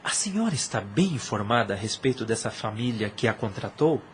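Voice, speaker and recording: An actor playing a jealous, wounded suitor in an audio drama, with formal, theatrical diction. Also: male voice, on the low side, very animated, a little fast, slight background noise. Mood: polite, restrained. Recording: music under the voice. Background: music.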